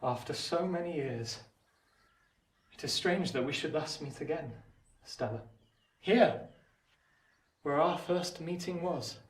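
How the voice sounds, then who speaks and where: An actor playing an earnest, tender bachelor gentleman in a period radio drama, speaking gently and formally. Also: a little high, unhurried, long pauses, recorded from a distance, close to an everyday voice. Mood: tender, sorrowful. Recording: roomy, off-mic stage-like sound.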